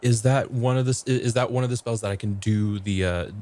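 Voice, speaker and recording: A man talking casually and a bit haltingly as a guest player in a tabletop roleplaying game. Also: mid-range voice, steady tone, a little mellow.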